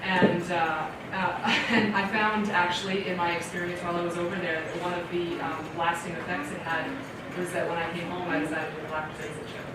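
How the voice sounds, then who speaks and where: A woman from Canada giving a casual question-and-answer talk to a library audience. She is chatty and animated, laughs often and acts out her own reactions. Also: on the low side, a little fast. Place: library meeting room with audience. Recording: echoey hall audio from far off with loud room noise.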